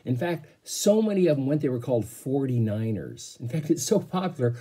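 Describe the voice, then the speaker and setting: An American man in his fifties, a teacher talking informally and reading a storybook aloud to children, with a husky voice. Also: mid-range voice, sing-song, fairly quick, dark tone. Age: 50s. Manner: informal, asks the listeners questions. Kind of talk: casual explaining and storybook read-aloud for children. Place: small bedroom at home, indoors. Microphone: phone or laptop at arm's length.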